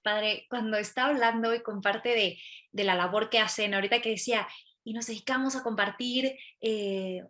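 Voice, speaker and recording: A woman co-hosting a podcast, asking questions in a halting way full of fillers. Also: on the high side. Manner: warm, halting, many fillers. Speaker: podcast co-host. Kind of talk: casual interview questions.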